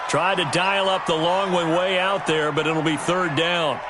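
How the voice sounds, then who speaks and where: Casting: American TV football play-by-play caller, high voice, punchy and polished, video game commentary, very noisy stream capture. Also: male voice, level tone, unhurried. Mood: brisk, focused. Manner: clipped, punchy, polished broadcast delivery. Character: energetic male TV football play-by-play announcer. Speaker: play-by-play sports announcer.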